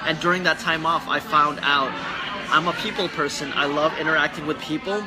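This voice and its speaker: A man's voice: a little high, fairly even intonation, fairly quick, a crowd in the background. A young man with a Filipino accent, a guest in a casual livestream chat, talking earnestly and rambling with many restarts. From Philippines. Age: young adult. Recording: background music.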